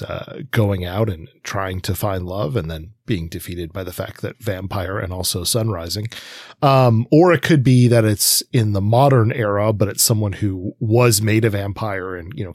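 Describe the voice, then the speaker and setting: A man with a lowish, husky voice chats as a podcast co-host. He is casual and halting, pausing often and filling gaps as he goes. The recording is dry and close. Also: no echo. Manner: hesitant, many pauses and fillers. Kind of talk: casual discussion.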